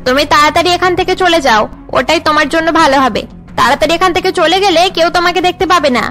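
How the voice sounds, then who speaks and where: A spiteful cartoon daughter-in-law, bossy and scolding. Her pitch is high, with music running behind the harsh words. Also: quick pace, a bit bright. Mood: scornful, angry. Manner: scolding, domineering. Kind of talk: cartoon family melodrama acting.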